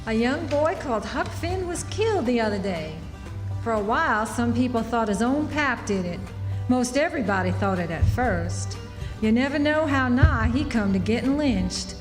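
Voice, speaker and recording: Animated folksy Southern townswoman played by a woman. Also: a little high, moderate pace, close to an everyday voice. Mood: gossipy. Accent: American Southern. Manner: folksy, emphatic. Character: shrewd, motherly frontier townswoman, chatty and prying. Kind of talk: live radio drama acting. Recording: echoey hall, music behind.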